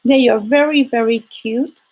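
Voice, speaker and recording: A native Spanish-speaking woman with a Spanish accent, hosting a casual craft livestream in a halting, rambling way and often repeating phrases. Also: fairly high pitch, darkish tone, low-quality recording.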